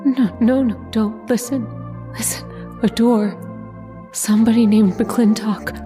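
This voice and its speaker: A woman's voice: a little high, steady tone, unhurried, warm tone, faint music behind, lightly acted. A woman playing a dying lover giving a desperate warning in weak, broken phrases, in old-time radio horror style. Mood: desperate, fading.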